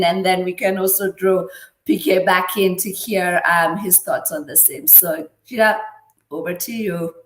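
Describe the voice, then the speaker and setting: A woman's voice: medium pitch, fairly bright tone. A woman co-hosting in a conversational style and posing questions, recorded in an echoey room. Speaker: co-host.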